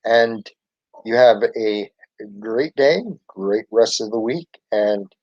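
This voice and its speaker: A man's voice: on the low side, takes it slowly, darkish tone, no room sound. Man reading a children's story, muffled recording. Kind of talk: expressive children's picture book narration. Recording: dull lo-fi home recording.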